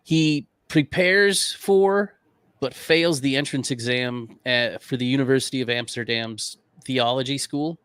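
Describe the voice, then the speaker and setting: A man's voice: mid-range voice, a little slow, fairly bright tone, clean tone, no echo, clean studio sound. A man co-hosting a podcast, telling a history story in a casual, conversational way. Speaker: podcast co-host.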